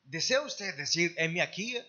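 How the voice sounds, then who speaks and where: A man's voice: fairly high pitch, animated, normal speed, bright, forceful tone, lo-fi sound. A man interpreting a sermon live, phrase by phrase, with occasional restarts. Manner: translating phrase by phrase, with some restarts. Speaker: church interpreter. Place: church service.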